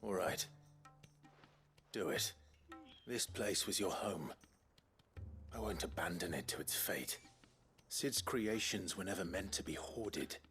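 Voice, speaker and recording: Low-voiced, resolute game hero. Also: male voice, a bit bright, faint music behind. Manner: measured, polite, determined. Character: earnest, resolute male hero, calm and courteous. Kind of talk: video game drama acting.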